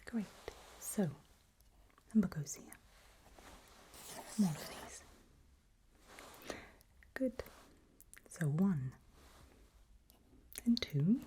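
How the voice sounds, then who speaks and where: A woman doing a whispered ASMR doctor roleplay, speaking breathily in gentle, reassuring, halting short phrases close to the microphone. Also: on the low side, lively intonation, a little hiss or hum. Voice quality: breathy, mostly unvoiced whisper. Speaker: ASMR performer role-playing a doctor giving a memory test.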